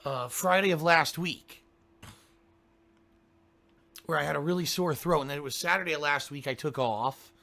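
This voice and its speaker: Hoarse, gravelly man, sick with a cold. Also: a little high, moderate pace, long pauses, pushed and bright. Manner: grumbling, ranting, keeps clearing his throat. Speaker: game streamer. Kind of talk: casual pre-stream chat to viewers.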